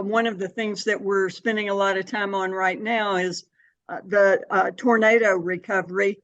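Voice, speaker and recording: A dull, slightly lo-fi and dry recording of an older woman. She speaks as an interview guest, explaining things in a measured, conversational way. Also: mid-range voice, a bit bright, no room sound. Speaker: interview guest, city board member and anti-hunger advocate.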